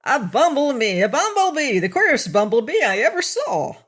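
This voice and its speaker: A woman's voice: medium pitch, very animated, normal speed, a bit bright, voice pushed up. A woman voicing a fussy, self-important little man who complains and wails. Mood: alarmed. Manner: whining, fretful, wailing.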